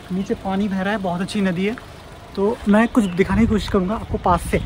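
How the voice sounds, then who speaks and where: A man in his thirties, chatty and excited, vlogging outdoors on a mountain road into a phone held at arm's length. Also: sits high, slight background noise, low-quality recording. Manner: chatty, excited about the scenery, sings his own song. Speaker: travel vlogger. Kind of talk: casual travel vlog narration.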